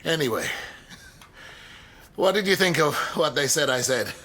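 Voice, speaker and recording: Sharp, sardonic older professor. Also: male voice, on the high side, expressive, moderate pace. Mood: sardonic, guarded. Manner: pedantic, sardonic, correcting. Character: dry, exacting older male professor and exasperated father. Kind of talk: radio drama acting.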